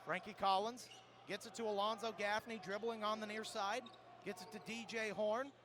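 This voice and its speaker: A man's voice: high-pitched, steady tone, moderate pace. A man calling a game live as a radio play-by-play announcer. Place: indoor sports arena. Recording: noisy live sports arena broadcast.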